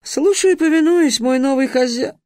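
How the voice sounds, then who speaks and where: A man's voice: extremely high pitch, normal speed, a little mellow, dry recording, studio quality, voice pushed up. A man voicing a boastful, sly young male genie with a wheedling, tricky manner that turns to squealing when he is scared.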